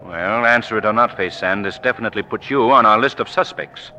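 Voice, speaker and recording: An actor playing a suave, commanding amateur sleuth and avenger in a 1940s radio mystery. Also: male voice, lowish voice, bright, forceful tone. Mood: probing, authoritative. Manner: commanding, measured, interrogating. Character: suave amateur sleuth and eerie invisible crime-fighting avenger. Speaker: lead actor. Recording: noisy vintage 1940s radio recording.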